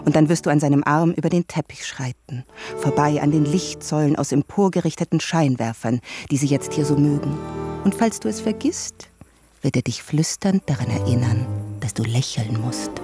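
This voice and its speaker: An actress playing a skeptical ironic wife. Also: female voice, low-pitched, normal speed, over music, natural voice. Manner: skeptical, probing, sometimes whispering. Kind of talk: radio drama acting.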